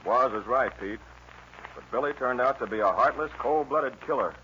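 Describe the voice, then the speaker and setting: An actor playing a resolute, plain-spoken frontier lawman with an American Western accent, firm and measured in old radio style. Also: mid-range voice, pushed and bright, close to an everyday voice. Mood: grimly determined. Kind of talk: 1940s radio western acting. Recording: muffled old radio recording, faint noise.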